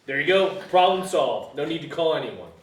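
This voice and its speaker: A man's voice: on the high side, very animated, normal speed. A man reading an easygoing, matter-of-fact fishing buddy at a screenplay table read. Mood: breezy. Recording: echoey room, far from mic.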